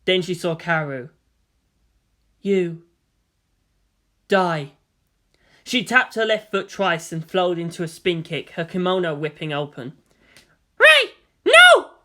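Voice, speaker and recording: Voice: medium pitch, normal speed, hardly any pauses, a bit bright. A narrator reading an action story aloud in a dramatic, scripted storytelling style.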